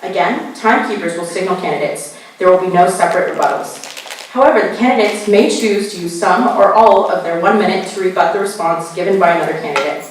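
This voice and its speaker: Woman moderator, calm careful reading, big echoey hall, sounds far off. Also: lowish voice, slight background noise. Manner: slow, careful spelling out of web addresses. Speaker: forum moderator. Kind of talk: reading forum rules aloud. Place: college meeting room.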